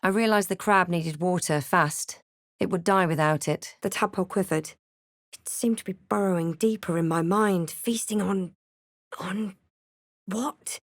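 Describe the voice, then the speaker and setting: A woman playing a watchful, wry fantasy adventurer in a video game, recounting her journey in a measured storybook way with dry asides. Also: fairly low pitch, no room sound. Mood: reflective. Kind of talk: video game character voice lines.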